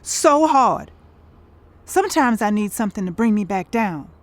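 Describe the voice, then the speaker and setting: A woman's voice: mid-range voice, animated, moderate pace, no room sound, close to an everyday voice. A woman with an American accent playing a world-weary, defensive touring performer in an audio drama, speaking in a folksy, dismissive way. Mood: defensive, angry. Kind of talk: naturalistic audio drama acting.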